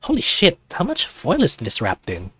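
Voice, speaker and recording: Guy doing a snarky teen hero in a visual novel, super expressive, teasing, dry close recording. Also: fairly high pitch, moderate pace, breathy edge, no echo, faint music behind, voice pushed up, full character voice. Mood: incredulous. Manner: dry, teasing, exclamatory questions. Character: sarcastic, incredulous teenage guy, the story's hero.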